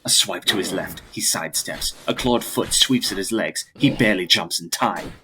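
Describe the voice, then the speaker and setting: A man with a deep, husky voice giving a dramatic scripted narration of a gothic story. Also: moderate pace, background noise.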